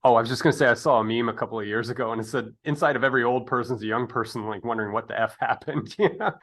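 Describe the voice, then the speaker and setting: A man in his fifties hosting a reflective, casual interview, speaking haltingly as he searches for his words. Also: mid-range voice, fairly bright tone. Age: 50s. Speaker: interview host.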